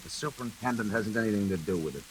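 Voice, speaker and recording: An actor playing a sinister night elevator operator in a classic radio suspense drama, laconic and coolly insinuating. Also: male voice, fairly low pitch, animated, very fast, natural voice. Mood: curt, guarded. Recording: hissy, noisy old radio recording.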